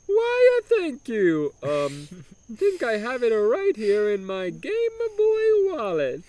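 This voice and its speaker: A man's voice: huge pitch swings, darkish tone, heavily acted. Man doing a whiny fake-Italian mustached plumber. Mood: chirpy. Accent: put-on broken Italian. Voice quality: high, whiny, drawn-out. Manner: theatrical, complaining, shrieking at peaks.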